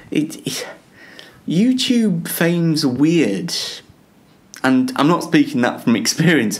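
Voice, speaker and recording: Self-deprecating bloke doing a casual chat show, kinda halting, pitch goes all over the place. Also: male voice. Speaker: host of a self-made web show, interviewing himself.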